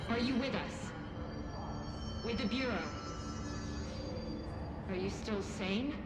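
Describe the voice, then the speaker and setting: An actress playing an anxious, eager young research assistant in a video game, calling out tentatively from a distance. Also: female voice, fairly high pitch, slow pace. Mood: anxious, pleading. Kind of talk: cinematic video game acting. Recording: echoey, very loud music behind.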